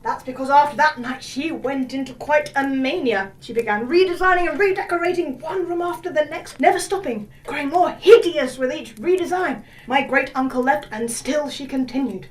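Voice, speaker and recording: A woman playing a brisk upper-crust lady telling a family ghost story in a theatrical audio drama, with old-fashioned posh phrasing. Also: high-pitched, far from the mic, lightly acted. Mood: grave narration.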